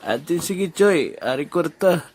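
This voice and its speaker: A man playing a relaxed older scrap buyer who haggles in slow, drawn-out speech. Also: fairly high pitch. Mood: bargaining. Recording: noisy amateur recording.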